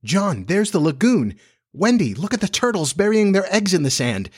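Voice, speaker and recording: A man voicing excitable young children in a storybook, eager and exclaiming. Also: medium pitch, a little slow, no room sound, clean studio sound. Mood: excited.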